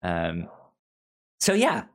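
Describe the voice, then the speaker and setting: A man co-hosting a casual discussion podcast, talking haltingly and often repeating sounds and words as he goes. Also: sits low, wildly swooping pitch. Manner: halting, frequent stammered repetition of sounds and words. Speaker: podcast co-host. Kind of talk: casual book discussion chat.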